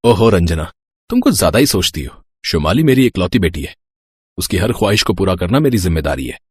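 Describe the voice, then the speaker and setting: A man's voice: low voice, sing-song, a little fast, husky, no echo, exaggerated voice. A man voicing a doting, proud, wealthy middle-aged father in an audio story, indulgent and emphatic. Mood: indignant, proud.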